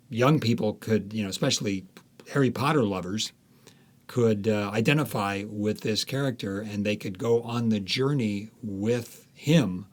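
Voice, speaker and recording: A man with a fairly low voice answers as a guest author in an interview. He talks in a conversational way, circling back and repeating words while he works out his thought. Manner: halting, repeats words as he thinks aloud.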